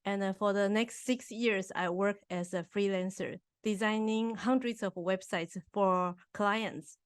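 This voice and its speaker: A woman from Taiwan, a native Mandarin speaker, answering a podcast interviewer in careful English with frequent pauses and restarts. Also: medium pitch, smooth, no echo, clean studio sound. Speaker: podcast guest. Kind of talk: casual interview.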